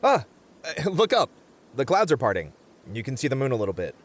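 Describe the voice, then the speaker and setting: A man's voice: medium pitch, wildly swooping pitch, fairly quick. A man playing an anxious, sensible young camper in a comedy audio roleplay, wry and hesitant, often stammering as the voice of reason. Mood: puzzled.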